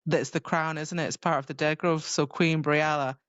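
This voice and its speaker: A woman's voice: low voice, fairly even intonation, moderate pace, dry recording. A woman co-hosting a podcast in casual chat about a book, on a slightly muffled recording. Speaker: podcast co-host. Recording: dull, muffled close recording.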